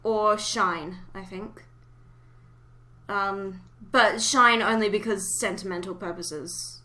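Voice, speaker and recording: A woman hosts a relaxed fan podcast in an informal, chatty way. Her voice is very bright and crisp-edged, sitting at an ordinary female pitch. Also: slow. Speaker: podcast host. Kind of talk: casual fan podcast chat.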